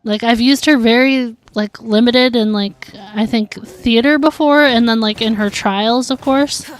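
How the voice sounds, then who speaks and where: A woman talks casually on a gaming livestream. Her voice sits a little high, and she is chatty, peppering her sentences with filler words as she speaks to viewers. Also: steady tone, a little slow, no echo, rough recording. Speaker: game streamer.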